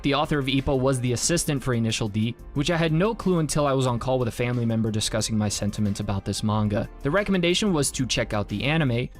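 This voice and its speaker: A man narrating a scripted review in the manner of an online video creator. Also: medium pitch. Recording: music under the voice. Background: background music.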